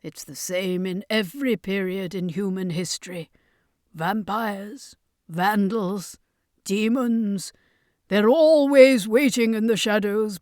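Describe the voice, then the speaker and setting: A woman's voice: mid-range voice, a little mellow, dry recording, clean studio sound, close to an everyday voice. Woman as a weary, sheepish circus master. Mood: weary, knowing. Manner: apologetic, matter-of-fact.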